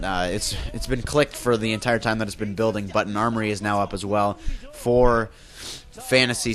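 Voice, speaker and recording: A man's voice: on the low side, fairly bright tone. A young man with a flu, sounding nasal and congested, giving loose play-by-play commentary on a video game match with frequent fillers and self-corrections. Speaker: esports caster.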